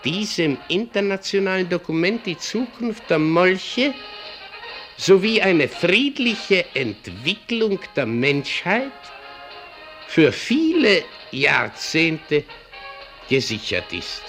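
A man playing an opinionated older doorman in a German radio drama, muttering and reading haltingly with asides. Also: high voice, sing-song, fairly bright tone, faint music behind, heavily acted. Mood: reading aloud, satisfied. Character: brooding, opinionated older doorman and newspaper clipper.

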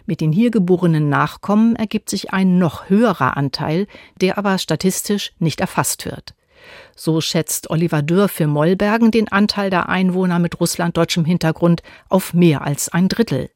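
A woman's voice: on the low side, no room sound. Woman reporter reading a dry narration. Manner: measured, even reading. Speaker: radio reporter. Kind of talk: scripted feature narration.

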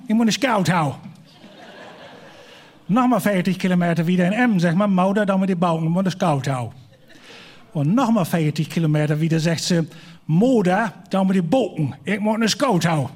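Folk musician guy, pretty high voice, halting chat, repeats words. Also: a little mellow. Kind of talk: casual chat.

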